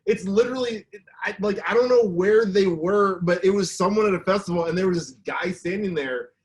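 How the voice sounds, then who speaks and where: A man's voice: high-pitched, fairly quick. A man chatting casually as a podcast co-host. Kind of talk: casual chat.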